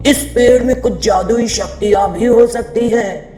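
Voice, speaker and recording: A man's voice: very high-pitched, measured pace, dark tone, roomy sound, faint music behind. A man voicing a power-hungry, menacing female ghost in a story, gloating and boastful as a villain. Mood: scheming, gloating.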